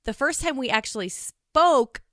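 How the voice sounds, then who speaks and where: Bright-voiced woman chatting as podcast guest. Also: sits high, unhurried, dry recording, studio quality. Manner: animated, chatty, laughs often. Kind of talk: casual conversational interview.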